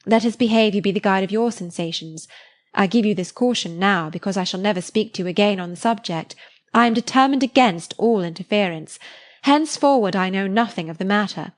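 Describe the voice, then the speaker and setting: A woman voicing a self-assured young gentlewoman who speaks in a measured, firm way, in a storybook reading. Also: medium pitch, natural voice.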